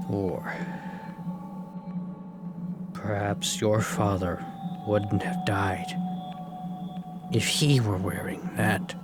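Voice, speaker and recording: An actor playing a grown man haunted by his father's death, telling it in a hushed, halting way. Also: fairly low pitch. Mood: solemn. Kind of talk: fan manga dub, dramatic reading.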